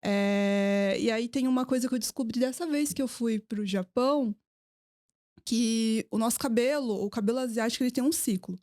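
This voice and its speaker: A woman's voice: fairly high pitch, normal speed, a little mellow, no echo, studio quality. A woman who grew up between Brazil and Japan, a podcast guest telling stories in a hesitant, laughing way. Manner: storytelling, hesitant, laughs often. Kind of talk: casual conversational interview.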